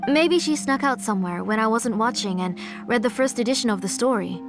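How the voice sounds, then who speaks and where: A woman's voice: a little high, expressive, brisk, over music. A woman playing a polite, protective but controlling aunt in a video game, composed and formal. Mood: defensive.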